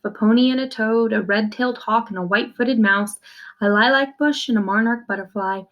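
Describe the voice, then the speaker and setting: A woman's voice: fairly high pitch, brisk, highs cut off. A woman reading a children's novel aloud like a teacher, expressively, in the first person, with simple explanations for young listeners. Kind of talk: read-aloud storytelling with brief explanations.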